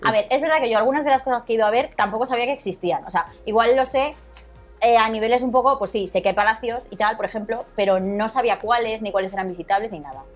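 A woman's voice: on the high side, fast, faint music behind. A young woman with a Madrid accent chatting casually as a guest, talkative and colloquial. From Madrid, Spain. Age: young adult. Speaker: interview guest, Instagram content creator. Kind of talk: casual chat. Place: small studio set, indoors. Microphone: lapel.

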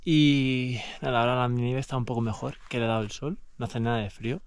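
A man's voice: medium pitch, fairly even intonation, quick pace, clear, resonant tone. A young man with a European Spanish accent doing casual, slangy, enthusiastic commentary for an outdoor vlog. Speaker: vlogger. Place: outdoors on snowy mountain slopes.

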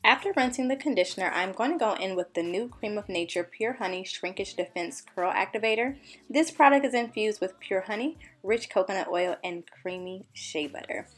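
A young woman beauty vlogger narrating a scripted tutorial voice-over in an upbeat, enthusiastic manner. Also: mid-range voice. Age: young adult.

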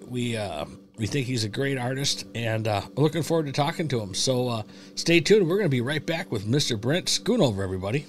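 Middle-aged American man, rambling podcast host. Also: medium pitch. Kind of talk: casual podcast chat. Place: indoor podcast studio. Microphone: close boom-arm podcast microphone with headset.

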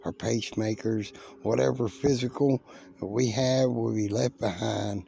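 An American man in his forties with a gravelly voice, preaching informally to camera in a folksy, unhurried way, outdoors with a phone held close. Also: lowish voice, dark tone. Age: 40s. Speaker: lay preacher vlogger. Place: outdoors by a river. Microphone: phone held close to the face.

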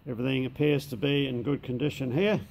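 Gravelly Australian man touring a workshop. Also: mid-range voice, fairly even intonation, quick pace, background noise. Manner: matter-of-fact, plain-spoken with slang. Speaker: machine shop owner. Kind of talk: casual walkthrough narration. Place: large indoor workshop shed.

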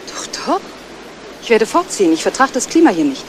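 A cool, evasive woman who acts innocent and haughty. She talks quickly with a very mobile pitch, on a noisy old radio drama recording. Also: big pitch swings. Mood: curt, haughty. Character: haughty, evasive, unruffled female tenant. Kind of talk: 1970s German radio crime drama acting.

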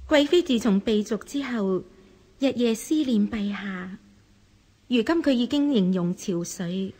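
An actress playing a deferential, pleading noblewoman at the Chinese imperial court in a historical radio drama, with a husky voice. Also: medium pitch, expressive, a little slow, dark tone.

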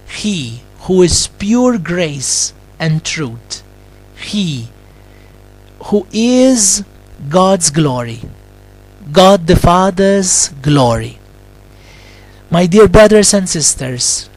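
A man, a Capuchin friar, delivers a Christmas homily and prayer in short deliberate phrases with long pauses. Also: high-pitched, a little mellow, rough recording.

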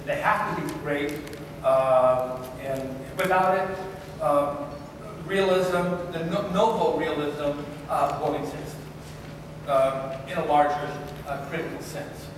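An older man talks in halting bursts, pausing often to find words, his voice a little high. He sounds distant in a large hall with heavy echo and a constant hiss behind him. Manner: halting, many fillers and restarts. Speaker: panelist. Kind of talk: panel discussion. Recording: echoey hall heard from far off.

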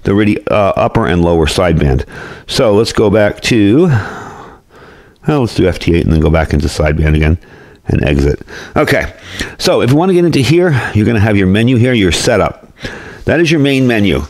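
A man's voice: fairly low pitch, sing-song, fairly quick, darkish tone. A man giving a casual, folksy product review and demonstration, explaining things informally as he goes. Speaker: host, gear reviewer. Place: indoors at a desk or workbench.

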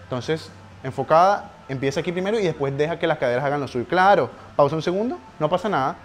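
A young man, coaching a client through her reps in a home garage gym. His voice sits a little high, and he counts out each rep with urgent encouragement. He is picked up by a camera a few metres away, with a faint hiss in the background. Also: animated, quick pace. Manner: urgent encouraging counting of reps, pushes harder near the end. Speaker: personal trainer. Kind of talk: live coaching a workout.

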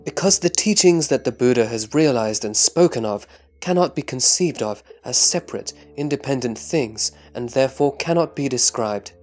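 A man playing a reverent disciple answering his master in measured, scripted scripture drama. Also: mid-range voice, expressive, darkish tone, soft background music, natural voice. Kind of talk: scripted audio drama recitation.

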